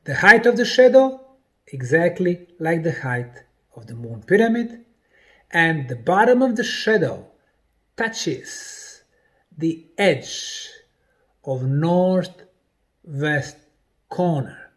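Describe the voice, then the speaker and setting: A man delivering a scripted documentary presentation in deliberate, emphatic phrases broken by pauses. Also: on the high side, big pitch swings. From Bosnia.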